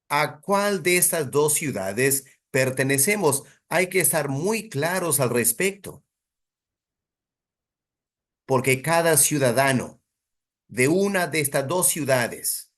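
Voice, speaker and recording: Slow, deliberate male Bible teacher. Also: mid-range voice, a bit bright. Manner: deliberate, emphatic, rhetorical questions. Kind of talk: expository sermon, Bible study teaching.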